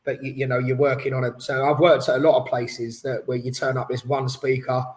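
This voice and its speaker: A man co-hosting a casual football podcast, talking in a rambling, animated way with many restarts. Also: mid-range voice, brisk. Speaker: podcast co-host. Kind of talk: casual chat. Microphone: headphones on, studio mic.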